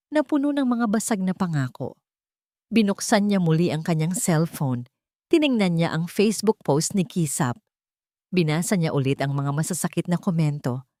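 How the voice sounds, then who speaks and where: A woman with a low-pitched voice narrates a scripted drama. Her delivery is measured and solemn, and the recording is dry, with very little room sound. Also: a little mellow, dry recording.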